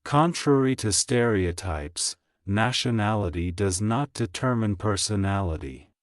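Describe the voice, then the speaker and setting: A man reading English practice sentences aloud, slowly and clearly, for learners to repeat. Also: on the low side, no room sound, studio quality. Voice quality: plain, even reading of each sentence, repeated. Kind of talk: slow, clear scripted reading for shadowing practice.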